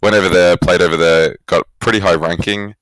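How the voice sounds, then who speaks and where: A young Australian man with an extremely low voice talks in a casual interview. He is reflective and hesitant, rewording his thoughts as he goes, on a dry, close recording. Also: steady tone, a little fast, a bit bright, no room sound, rough recording. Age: young adult. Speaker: esports coach, former pro player, guest.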